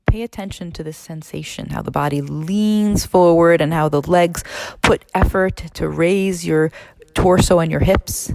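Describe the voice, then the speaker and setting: A woman narrating a guided meditation as an instructor, in a measured, instructional way with pauses between phrases. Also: fairly low pitch, gravelly, a little mellow, no room sound. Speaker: neurologist leading a guided meditation.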